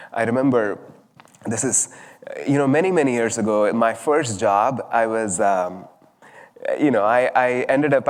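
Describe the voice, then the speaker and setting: Man giving a reflective talk in a reverberant hall. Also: mid-range voice. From southern India. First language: Tamil. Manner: thoughtful, storytelling, many fillers. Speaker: speaker at a spiritual service.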